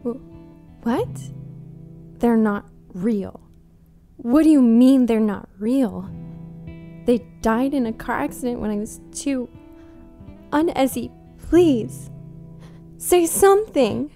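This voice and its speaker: A woman acts out a hurt young niece in an audio drama. Her voice is on the high side, emotional and accusing, with faint music behind it. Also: lively intonation, slow pace, long pauses, warm tone. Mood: shocked, pleading. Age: young adult. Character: hurt, resentful nineteen-year-old niece.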